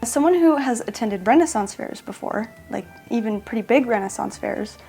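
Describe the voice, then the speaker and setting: A woman's voice: on the high side, lively intonation, normal speed, vocal fry, mellow, faint music behind. A woman vlogger talking casually to camera, chatty and self-mocking, often laughing in the middle of a sentence.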